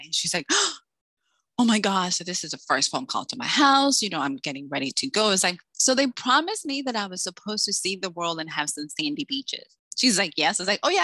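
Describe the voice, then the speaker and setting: Animated, bright-voiced woman storyteller. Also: on the low side, fairly quick, no echo. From Dominican Republic, raised in Massachusetts. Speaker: author guest. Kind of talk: casual interview chat.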